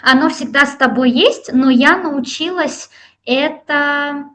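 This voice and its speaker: A woman from Russia and Iran talking reflectively and in a measured way as a guest in a conversational interview. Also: sits high, fairly bright tone.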